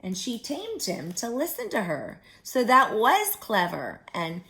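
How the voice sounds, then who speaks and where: A woman reading a story aloud to young children like a teacher, expressively, pausing to add simple explanatory comments. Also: a little high, huge pitch swings, pushed and bright, poor audio.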